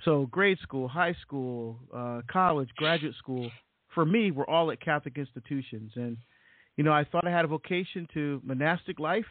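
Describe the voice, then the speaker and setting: A man's voice: fairly high pitch, normal speed. A middle-aged man from Barbados, a deacon and author, telling his story as an interview guest with many fillers and repeats. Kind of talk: casual interview. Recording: tinny phone-like audio, dry.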